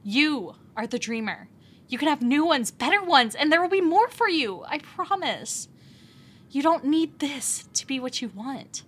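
An actress playing a caring friend who gently reassures someone after a bad night. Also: female voice, sits high, sing-song, a little slow. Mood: soothing. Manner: soothing and reassuring. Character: caring woman friend waking a sleeper.

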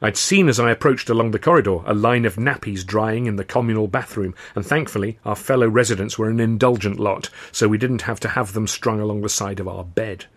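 A low-voiced man narrates his own novel with dry, wry timing. His storytelling is measured and scripted, like an audiobook. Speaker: author and narrator.

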